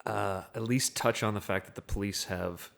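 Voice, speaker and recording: Male, fairly low voice. Podcast panelist, conversational and discursive, working thoughts out as he speaks. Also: steady tone, normal speed, gravelly, a bit bright. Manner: rambling, thinking aloud. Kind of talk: casual film discussion.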